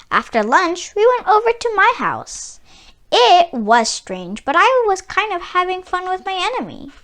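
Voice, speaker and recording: A woman reading a children's storybook aloud in an expressive, animated way, with dramatic pauses and emphasis. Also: sits high. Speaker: children's book read-aloud narrator. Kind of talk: expressive scripted storybook narration for kids.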